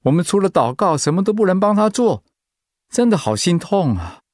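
A man's voice: high-pitched, big pitch swings, normal speed, darkish tone, dry recording, clean studio sound. A man acting in a radio drama as a humble, anguished pastor and devoted husband, speaking in a heartfelt, sighing way. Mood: sorrowful, helpless.

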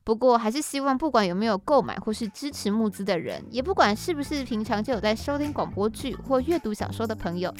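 A woman's voice: a little high, lively intonation, fast talker, hardly any pauses. A woman with a Taiwanese Mandarin accent co-hosting a podcast in a chatty, self-deprecating way. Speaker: podcast host and audio drama scriptwriter.

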